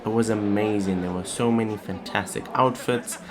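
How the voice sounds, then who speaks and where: A man's voice: fairly low pitch, steady tone, moderate pace, crowd noise. A young man narrating a scripted vlog. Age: young adult. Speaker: vlogger.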